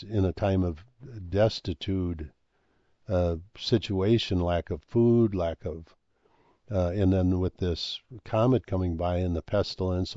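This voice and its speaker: An older man talking in a rambling monologue, halting with many fillers and restarts, and losing his voice. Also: deep voice, dark tone, dry recording, lo-fi sound. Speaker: speaker on ancient history and comets.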